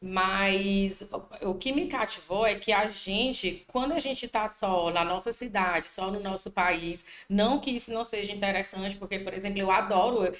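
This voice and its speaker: Echoey woman teacher from Brazil. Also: moderate pace. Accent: Piauí Brazilian Portuguese. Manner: talkative, frequent "né?" tags, animated pitch jumps. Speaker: language teacher. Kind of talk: casual interview answers. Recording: echoey room.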